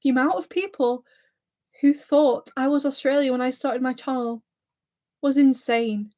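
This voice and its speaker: A woman's voice: high-pitched, moderate pace, warm tone. A woman in her twenties with a mix of Lancashire and Scouse accent, chatting nervously and giggling on a live stream while reading viewer comments. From England, near Blackpool. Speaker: YouTuber hosting a livestream.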